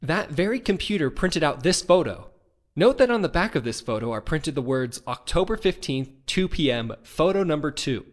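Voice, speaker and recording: A man's voice: on the high side, sing-song, normal speed. A man voicing an earnest, dogged young defense lawyer in a courtroom game, with insistent, emphatic questioning. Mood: methodical. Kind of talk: video game fan voice acting.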